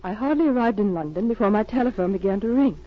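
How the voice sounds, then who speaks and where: An actress playing a cool, businesslike secret agent in 1940s radio drama style, speaking frankly and crisply. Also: female voice, mid-range voice, animated, quick pace, close to an everyday voice. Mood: brisk. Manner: crisp, frank, clipped sentences. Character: cool, businesslike female secret agent posing as arms buyer. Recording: dull, noisy old radio recording.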